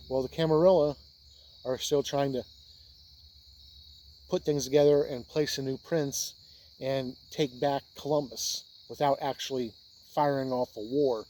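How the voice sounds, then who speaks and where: An American man with a dark, relaxed voice at a middle pitch talks casually as a video host. He explains his ideas in short, halting phrases, pausing between them. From Indiana, United States. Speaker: host of a tabletop role-playing video channel. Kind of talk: casual explainer talk to camera.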